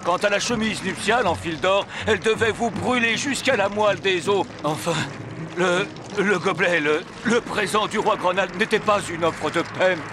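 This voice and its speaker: An actor playing a loyal, grave, self-sacrificing royal servant in a fairy-tale cartoon, with formal, dramatic delivery. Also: male voice, sits high, moderate pace, noisy background, close to an everyday voice. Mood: solemn, pleading.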